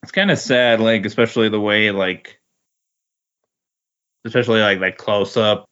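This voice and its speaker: Lowish-voiced man in halting casual chat. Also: fairly even intonation, fairly bright tone. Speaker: podcast guest. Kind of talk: casual chat about comic books. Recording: dull, lo-fi recording.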